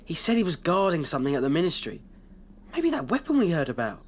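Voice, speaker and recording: A woman's voice: sits low, big pitch swings, fast, darkish tone, a little hiss or hum. A woman voicing a loyal, matey teenage schoolboy in a video game, with a British accent. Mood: reassuring. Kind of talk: video game cutscene acting.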